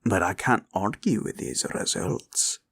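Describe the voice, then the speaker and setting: A man playing a greedy, menacing green dragon in a villain roleplay audio, gravelly and drawling as he gloats and haggles. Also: fairly low pitch, lively intonation, fairly bright tone, exaggerated voice. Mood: grudging, haggling. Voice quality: gravelly, creaky. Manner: slow, drawling, grumbling and haggling.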